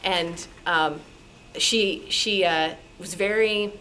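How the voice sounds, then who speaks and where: A woman with a slightly low voice tells personal stories to an audience. She is from New York City and animated, slipping into remembered dialogue as she goes. There is faint noise from the meeting room around her. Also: drawn-out words. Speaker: radio drama actress and sound effects performer, guest speaker. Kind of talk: personal anecdotes told to a club audience. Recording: noisy room at a club meeting.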